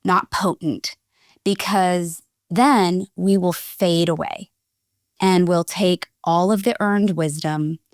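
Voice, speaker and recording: A woman's voice: fairly low pitch, steady tone, takes it slowly, no room sound, studio quality. A woman in her forties, a guest leadership coach, speaking reflectively in a casual interview. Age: 40s.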